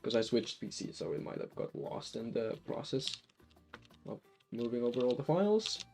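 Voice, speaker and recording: A man's voice: mid-range voice, expressive, normal speed, music in the background. A man from Finland with a light Finnish accent, chatting casually while playing a game on a live stream, rambling with many restarts. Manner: rambling, lots of restarts and fillers.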